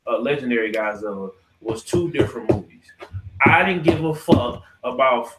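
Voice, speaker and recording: A man's voice: mid-range voice, lively intonation, normal speed, loud music behind the voice, rough recording. An American man hosting a podcast, casually debating in a profane, slangy way, cutting in and repeating himself for emphasis. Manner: profane, slangy, argumentative, interrupting. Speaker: podcast host. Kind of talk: casual debate about movies and games.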